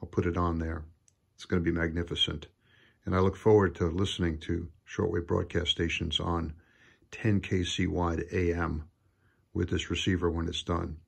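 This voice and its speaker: A man with a gravelly, husky voice giving a casual, enthusiastic, unscripted show-and-tell as a hobby builder at a workshop bench. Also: sits low, little pitch movement, normal speed. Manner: enthusiastic, admiring. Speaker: hobby radio builder presenting own project. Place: indoor home workshop at a workbench.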